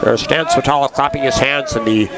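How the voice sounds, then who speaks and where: A man's voice: medium pitch, brisk, over a noisy crowd. A man doing baseball play-by-play commentary, sounding congested, chatty and given to history asides. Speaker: play-by-play announcer.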